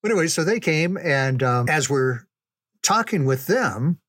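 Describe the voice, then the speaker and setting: A man's voice: a little high, animated, unhurried, a bit bright, slightly rough, dry recording. Folksy man telling stories, dry close sound. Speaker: storyteller recalling ministry memories. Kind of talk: casual reminiscing storytelling.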